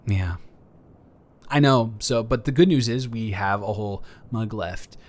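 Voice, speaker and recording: A man's voice: fairly low pitch, unhurried, lightly acted. A man improvising a flirty, awkward neighbor in a romantic roleplay audio, stammering and earnest as he talks. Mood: playful.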